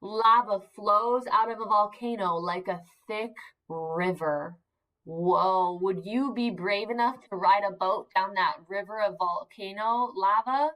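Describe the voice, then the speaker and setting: A woman teacher reading aloud to young children, expressive and encouraging, using simple emphasized words and pausing to ask questions. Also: medium pitch, poor audio. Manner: encouraging, asks questions and pauses for answers. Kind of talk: interactive read-aloud lesson for young children.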